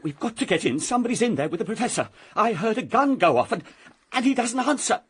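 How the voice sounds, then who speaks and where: A man's voice: sits high, sing-song, fast talker, a bit bright, slightly rough, full character voice. An actor playing a timid young male secretary in a radio drama, flustered, pleading and stammering. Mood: panicked, pleading.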